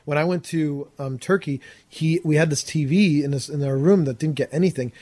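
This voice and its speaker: A man's voice: on the high side, brisk, no echo. A young man telling stories in a casual conversation, acting out quoted exchanges and swearing casually. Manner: animated retelling with acted-out quotes and casual swearing.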